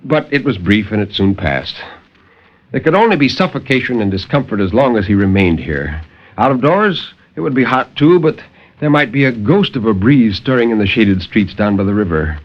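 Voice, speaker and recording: A man's voice: fairly low pitch, very animated, moderate pace. A man reading a horror story theatrically, in a literary 1950s radio storytelling style, on a muffled old recording with music. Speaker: storyteller, co-host.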